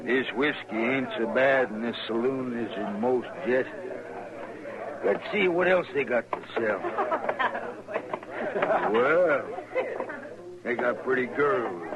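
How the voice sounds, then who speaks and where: Male actor as swaggering frontier outlaw, unhurried, mock-polite menace; dull vintage radio sound with crowd murmur. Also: mid-range voice, close to an everyday voice. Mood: leering, cocky. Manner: mock-polite, taunting, folksy drawl-like phrasing. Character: swaggering, menacing Old West outlaw gunman, big talker. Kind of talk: old-time western radio drama acting.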